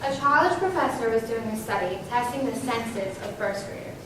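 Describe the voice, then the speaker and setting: A woman's voice: on the high side, lively intonation, normal speed. A woman giving a declamatory scripted recitation as a readers theater performer. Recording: echoey hall, distant mic, noisy.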